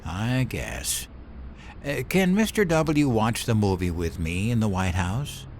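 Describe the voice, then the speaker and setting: Man playing a childlike, slow-talking comic president. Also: on the low side, sing-song, darkish tone, a little hiss or hum, full character voice. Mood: easygoing. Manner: naive, boyish, easily delighted. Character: childlike, dim, eager newly elected male US president. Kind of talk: comedic audio drama acting.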